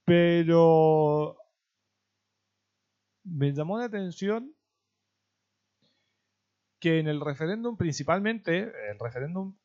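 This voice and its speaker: Guy chatting on a podcast, kinda halting, thinking out loud, muffled lo-fi audio. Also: fairly high pitch. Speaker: podcast co-host. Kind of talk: casual conversational chat.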